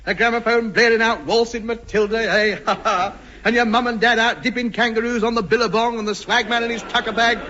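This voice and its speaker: A man's voice: very high voice, fairly even intonation, brisk, hardly any pauses, sharp tone. An actor playing a sarcastic, impatient, blustering man in a 1950s radio comedy. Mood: coaxing. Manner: sarcastic, exclamatory, mocking. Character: sardonic, impatient, blustering male householder. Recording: very noisy, dull old radio recording.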